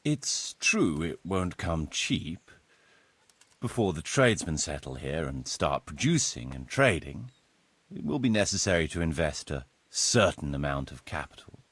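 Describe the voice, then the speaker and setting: An actor playing a formal, courtly medieval land surveyor in a video game, speaking precisely and deferentially. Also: male voice, rumbling low, very animated, measured pace, fairly bright tone, husky, no room sound, heavily acted. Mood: formal, assured. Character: formal, courtly medieval locator Marius, eager planner and surveyor. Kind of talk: video game cutscene acting.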